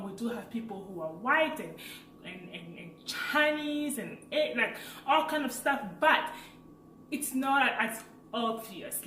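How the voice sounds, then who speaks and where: A woman in her late twenties from Africa, with a French accent, chatting casually as a vlogger and telling a personal story with lively, laughing energy. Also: a little high, echoey room, distant mic, slight background noise, rough recording. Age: late 20s. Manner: chatty and animated, laughs while telling stories.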